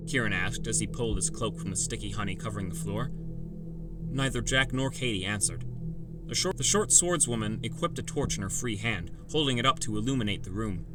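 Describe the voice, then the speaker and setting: A man's voice: fairly low pitch, fairly quick. Male audiobook narrator over prominent music. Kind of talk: first-person fantasy audiobook narration. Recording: loud music bed.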